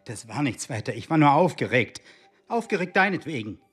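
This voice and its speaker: A blustering, self-pitying secret agent husband. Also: male voice, on the high side, sing-song, fairly quick, a bit bright, slightly rough, faint music behind, exaggerated voice. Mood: impatient, soothing. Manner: blustering, pleading, swinging between coaxing and outrage. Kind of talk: German radio drama acting.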